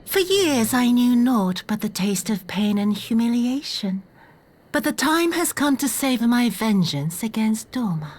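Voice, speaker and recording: Scornful, vengeful villainess. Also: female voice, a little high, very animated, measured pace. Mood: bitter fury. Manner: scornful, dramatic, savoring her words. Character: vengeful, embittered woman villain, cold and theatrical. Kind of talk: dramatic video game voice acting.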